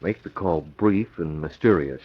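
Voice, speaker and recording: A man's voice: deep voice, unhurried, breathy edge. A man playing a commanding, shrewd masked crime-fighter in clipped, decisive old-time radio acting. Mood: calm, decisive. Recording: dull, lo-fi old radio recording.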